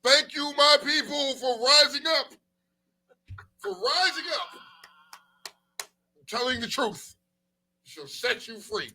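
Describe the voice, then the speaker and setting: A man co-hosting a casual talk show with profane, slangy, teasing banter, animated and repeating himself for emphasis. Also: very high voice, takes it slowly, long pauses, a little hiss or hum, rough recording.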